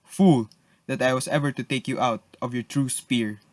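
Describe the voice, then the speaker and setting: A cold, haughty lord, played by a man, with a medium-pitched voice in a dry recording. He is scornful, suspicious and sarcastic, scolding in an amateur radio-play style. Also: steady tone, normal speed, no echo, lightly acted. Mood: bitter scorn. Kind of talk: amateur radio drama acting.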